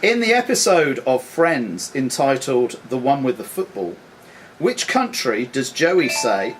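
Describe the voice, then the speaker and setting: A man acting as quizmaster, reading questions aloud in a deliberate, formal announcing way in a club room. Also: medium pitch, big pitch swings. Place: sports and social club room. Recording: noisy live recording in club room.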